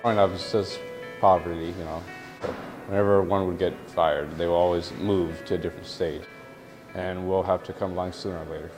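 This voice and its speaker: A man's voice: deep voice, level tone, fairly quick. A young man born in Thailand, speaking casually about growing up. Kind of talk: personal interview testimony. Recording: music bed under the voice.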